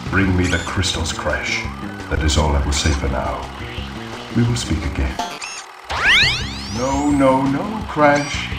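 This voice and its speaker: An actor playing a pompous, scheming mad scientist villain in a video game cutscene, grandiose and theatrical. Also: male voice, mid-range voice. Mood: smugly cordial. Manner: theatrical, pompous, exasperated outbursts. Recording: roomy, distant, music underneath. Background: music.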